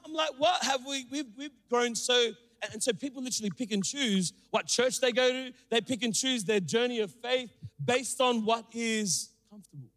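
Energetic male preacher from Sri Lanka, high voice, playful asides, church hall reverb. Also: normal speed, hardly any pauses. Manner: energetic, joking, calls out to the crowd. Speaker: pastor. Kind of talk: sermon. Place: church auditorium.

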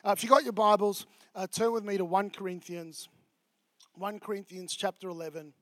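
An Australian pastor with a fairly high voice delivers a sermon in a loose, conversational style, dropping in plenty of ums and uhs. The recording is dry and close, with almost no room sound. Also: male voice, fairly even intonation, normal speed, fairly bright tone, no echo. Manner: casual, chatty preaching with many fillers.

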